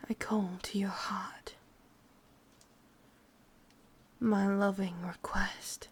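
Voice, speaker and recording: A woman's voice: mid-range voice, darkish tone, husky, exaggerated voice. A woman with an English accent playing a sadistic, possessive yandere witch in an ASMR roleplay, mostly whispering in a coaxing yet commanding way.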